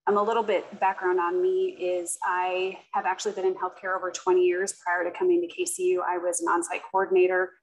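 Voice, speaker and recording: A middle-aged woman, a residency placement coordinator, introducing herself on a video call in a steady, businesslike way. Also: on the low side, brisk, hardly any pauses, poor audio.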